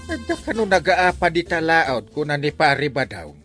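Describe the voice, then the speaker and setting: A man's voice: fairly high pitch, sing-song, moderate pace, a bit bright, soft background music. A man acting a lazy, sleepy, grumbling village captain in a radio comedy drama, with an Ilocano accent. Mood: sheepish.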